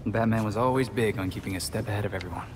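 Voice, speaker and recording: A man's voice: lowish voice, racing, noisy background, close to an everyday voice. An actor playing an earnest young male vigilante hero, self-doubting but dogged, in a video game cutscene. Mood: subdued, self-doubting.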